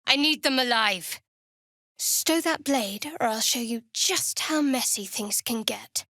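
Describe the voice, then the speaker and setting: A woman's voice: on the high side, slow pace, sharp tone, dry recording, full character voice. A woman playing a guarded, wry young woman cleric in a video game, with dry, sardonic, clipped delivery. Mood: sharp, angry.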